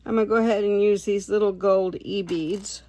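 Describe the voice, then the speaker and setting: A hoarse woman who is losing her voice, chatting casually and unhurriedly as she makes bead crafts on a vlog. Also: medium pitch, steady tone, a little fast, dark tone, slight background noise. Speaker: craft vlogger.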